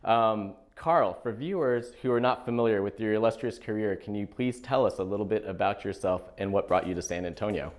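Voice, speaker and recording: A man's voice: lowish voice, brisk, hardly any pauses. A man hosting an interview show, asking polite questions in a hesitant way with repeated words and filler sounds. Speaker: host.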